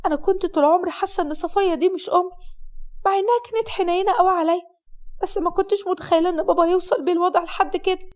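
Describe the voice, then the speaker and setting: A woman's voice: very high-pitched, racing, a little mellow, pitched above the performer's own voice. A woman voicing a distraught young bride, pleading and agitated. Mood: uneasy.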